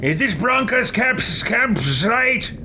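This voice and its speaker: A man's voice: very high voice, sing-song, slow, noisy background. A man hosting a gaming video, giving casual roleplay commentary as he plays. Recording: loud music behind voice.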